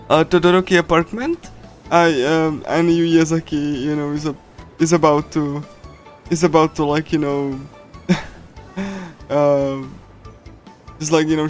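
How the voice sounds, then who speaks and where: Halting, higher-voiced man streaming a game. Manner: halting, repetitive, giggly. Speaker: livestreamer reading a visual novel. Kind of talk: casual game readthrough with translation and commentary. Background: game music.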